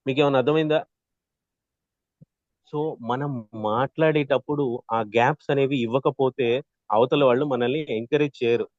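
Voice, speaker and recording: A man teaching an interactive online class as a trainer, explaining with examples and often checking in with a quick "right?". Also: mid-range voice, steady tone, darkish tone, dry recording, muffled recording. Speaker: voice modulation trainer.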